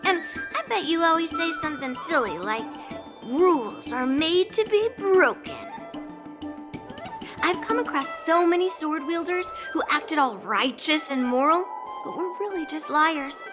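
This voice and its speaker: A cheeky young swordswoman talks in a high, sing-song voice at an easy pace. She sounds confident and teasing, and game music plays behind her. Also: expressive, measured pace. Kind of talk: video game voice acting. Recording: game cutscene over music. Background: background music.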